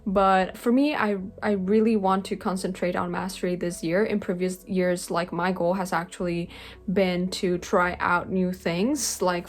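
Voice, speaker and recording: A woman's voice: fairly low pitch, gravelly. A young woman whose native language is Spanish, talking earnestly to the camera from her bedroom, with frequent "like" and "um" fillers. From Spanish-speaking country. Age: young adult. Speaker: language-learning vlogger. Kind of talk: casual talking-head vlog. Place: bedroom, indoors. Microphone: webcam-style camera close in front.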